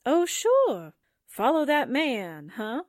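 A woman playing an excitable, wide-eyed sidekick with an exclaiming delivery in a vintage-style radio drama. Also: high-pitched, slow, dry recording, clean studio sound, exaggerated voice. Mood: curious. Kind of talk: 1940s radio drama acting.

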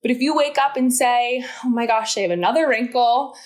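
A woman's voice: fairly high pitch, brisk. A woman in her thirties, a studio founder and guest on an interview show, explaining her ideas in an earnest, encouraging way. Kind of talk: interview conversation.

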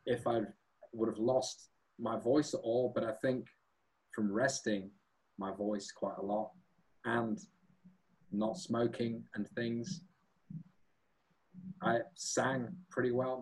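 A man who sings and plays guitar in a band, chatting as a guest in a relaxed, rambling, self-deprecating way. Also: mid-range voice, takes it slowly, pauses often, low-quality recording. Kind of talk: casual chat.

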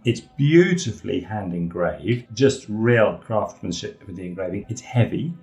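A man's voice: fairly low pitch, lively intonation, unhurried, a little mellow, faint music behind. A middle-aged man hosting a casual chat, describing pieces with enthusiasm. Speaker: host.

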